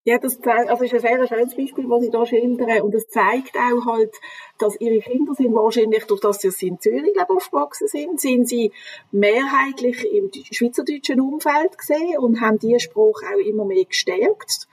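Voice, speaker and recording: Husky woman giving calm advice. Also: on the high side, normal speed, rough recording. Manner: calm, explanatory, advising. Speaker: reading and language development expert. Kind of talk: advice in a conversational interview.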